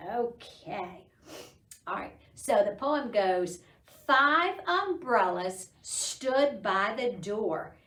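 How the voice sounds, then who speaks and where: A middle-aged woman hosting a children's storytime, reading aloud and talking to young children in an animated, encouraging way. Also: medium pitch, drawn-out words. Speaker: library storytime host. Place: small indoor library room. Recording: roomy echo, distant microphone.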